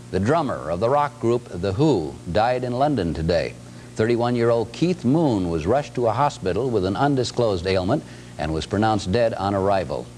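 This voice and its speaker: Male American TV anchor, fairly low register, scripted news read, faintly noisy 1970s broadcast recording. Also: a little mellow. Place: TV studio.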